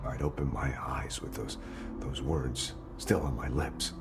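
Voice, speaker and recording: An actor playing an unhinged, paranoid agency director in a dramatic video game monologue, halting and haunted, often murmuring. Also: male voice, rumbling low, fairly even intonation, very noisy. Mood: dazed, haunted.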